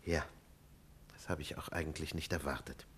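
An actor playing a grave, guarded doctor in a 1950s German radio play, formal and courteous with a hesitant delivery. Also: male voice, extremely low pitch, normal speed, a bit bright. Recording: 1950s radio recording, faint noise.

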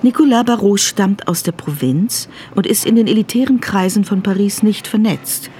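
A woman's voice: mid-range voice, moderate pace, mellow, a little hiss or hum. Woman narrating over faint ambient sound. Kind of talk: scripted radio drama narration.

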